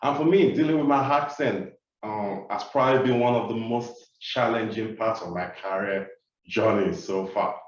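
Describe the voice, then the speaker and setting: Nigerian man, echoey distant sound. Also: fairly low pitch. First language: Yoruba. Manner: measured, with frequent "uh" fillers. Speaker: keynote speaker. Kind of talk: webinar talk. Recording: echoey, dull sound from far off the mic.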